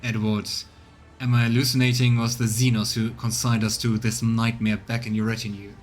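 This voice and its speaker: A man voicing a proud, stubborn interrogator in a game, weakened by torture, speaking formally and haltingly in a strained, bitter way. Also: fairly low pitch, little pitch movement, soft background music, natural voice. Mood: bitter anger. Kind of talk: acted reading of game dialogue.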